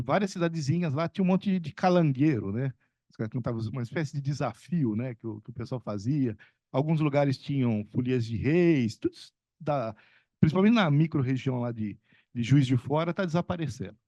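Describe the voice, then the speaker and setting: A man's voice: mid-range voice, darkish tone, no echo, muffled recording. A middle-aged man from São Paulo state, an economics professor speaking at a panel discussion in a rambling, conversational way with many fillers and restarts. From São Paulo state, Brazil.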